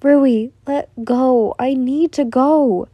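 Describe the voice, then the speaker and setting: A woman's voice: sits high, takes it slowly, mellow, dry recording. A woman playing a caring, conflicted young older-sister figure, apologetic and soothing as she pleads. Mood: urgent pleading.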